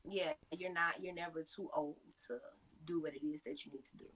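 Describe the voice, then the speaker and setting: A woman's voice: on the low side, steady tone, fairly quick, a crowd in the background, low-quality recording. A woman in her thirties answering interview questions with earnest, motivational advice and lots of fillers. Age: 30s. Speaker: interview guest, mother.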